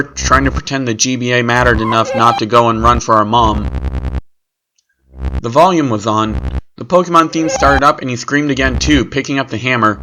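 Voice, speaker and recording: A man narrates a scripted horror story in the first person at a medium pitch. The recording has clear background noise and clicks.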